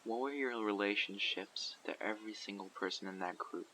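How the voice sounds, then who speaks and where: A man's voice: on the low side, bright, forceful tone, slight background noise, low-quality recording. A man playing a guarded survivor leader. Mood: calm, probing. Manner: measured, probing, matter-of-fact. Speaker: community leader questioning a newcomer. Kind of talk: audio roleplay. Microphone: USB condenser microphone.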